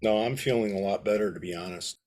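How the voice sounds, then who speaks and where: A man who is training to instruct, speaking in a measured, thoughtful way on a video call. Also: on the low side, a little mellow. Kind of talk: casual group video-call discussion.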